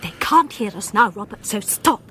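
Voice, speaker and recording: An actress playing a suspicious, sharp-tongued wife with an English accent, brisk and interrogating in a radio comedy. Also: female voice, mid-range voice, animated, a little hiss or hum.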